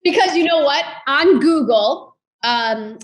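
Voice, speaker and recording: A woman of forty with a fairly high voice speaks as an interviewed fitness instructor. She is informal and conversational, stressing her points in an encouraging way. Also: expressive, slow, rough recording. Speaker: podcast guest, fitness founder and instructor.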